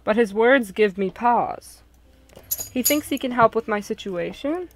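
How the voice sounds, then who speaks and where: A woman's voice: fairly high pitch, expressive, a little slow, faint music behind. A woman narrating a visual novel and chatting casually with a group.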